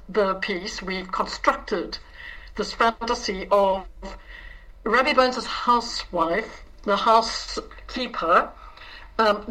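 A woman who is a director and scriptwriter, explaining her stage show to an interviewer in a measured way. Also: medium pitch, sharp tone, low-quality recording. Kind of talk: casual interview chat.

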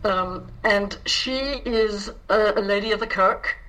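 A woman interview guest speaks in a bright, forward voice. Her pace is slow, with halting stretches as she carefully searches for the right words. The style is conversational and explanatory. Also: mid-range voice, steady tone, low-quality recording. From South Africa. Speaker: interview guest, director and scriptwriter. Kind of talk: conversational interview, describing a production.

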